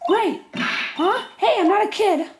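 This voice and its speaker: Woman doing a perky, squeaky girl sidekick, very high, noisy home recording. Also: slow. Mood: chirpy. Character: cheerful hungry young female assistant.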